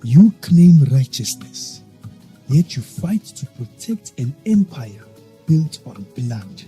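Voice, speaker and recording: An actor playing a proud, guarded African warrior king in an audio drama, speaking formally and solemnly in measured phrases. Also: mid-range voice, sing-song, dark tone, soft background music. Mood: bitter accusation.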